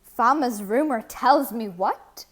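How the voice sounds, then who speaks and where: A woman's voice: fairly high pitch, lively intonation, slow pace. A woman voicing an indignant, jealous young suitor prince in a declamatory reading of a verse libretto. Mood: jealous brooding. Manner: declamatory, aggrieved. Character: jealous, indignant spurned suitor prince pleading to his divine father.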